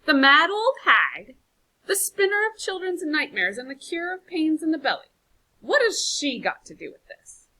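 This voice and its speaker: A woman voicing a brash, impatient village leader in a storybook, booming and scornful. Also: very animated, moderate pace, bright, forceful tone, full character voice, poor audio.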